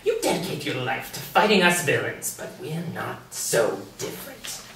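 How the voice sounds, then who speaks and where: A manic male villain clown who taunts and cackles, his voice leaping wildly up and down in a bright, piercing tone. It sounds like a table reading in a very echoey room, heard from some distance away. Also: mid-range voice, very animated, normal speed, a little hiss or hum. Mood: taunting. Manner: taunting, with sudden shrieking laughs.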